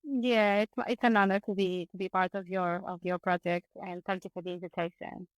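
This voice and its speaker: Angolan-born woman, middle-aged guest, smooth clear voice, dry close mic. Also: lowish voice, level tone, brisk, no echo, low-quality recording. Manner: warm, restarts phrases often, laughs easily. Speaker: guest, dance teacher. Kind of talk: casual podcast interview.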